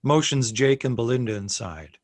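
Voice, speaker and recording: A man's voice: medium pitch, lively intonation, normal speed, no room sound, studio quality. A man reading screenplay stage directions as scripted narration.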